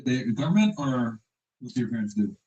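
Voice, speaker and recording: A man's voice: medium pitch, lively intonation, quick pace, darkish tone, dull audio. A man hosting a podcast, firing off blunt interview questions and joking around. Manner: blunt, joking, laughs often. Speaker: podcast host. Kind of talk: quick-fire interview questions.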